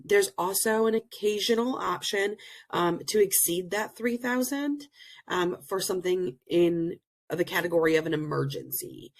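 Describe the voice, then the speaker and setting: A woman presenting in an online learning session over a video call, slightly hoarse from being sick, explaining things earnestly with many pauses. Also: on the low side. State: hoarse, recovering from illness. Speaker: presenter and program director. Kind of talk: webinar presentation.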